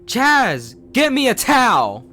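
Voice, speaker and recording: A man voicing a furious, tyrannical mad scientist father who yells and threatens. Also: medium pitch, expressive, normal speed, a little hiss or hum, close to an everyday voice. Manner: shouting, menacing.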